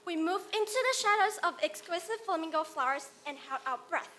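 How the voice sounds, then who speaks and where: A woman's voice: extremely high pitch, animated, brisk, pushed and bright, a little hiss or hum. A young-sounding female performer narrating dramatically in a theatrical readers theater style. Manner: theatrical, exclamatory.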